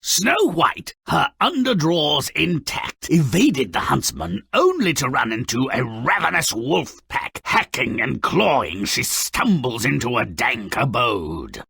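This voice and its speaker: A man voicing a sardonic, grotesque little dwarf storyteller in a video game, gleefully malicious and melodramatic, with a raspy, gravelly voice and a sneering, mocking delivery. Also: medium pitch, big pitch swings, unhurried, hardly any pauses, sharp tone, dry recording, clean studio sound, heavily acted. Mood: wry storytelling. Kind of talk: theatrical video game character narration.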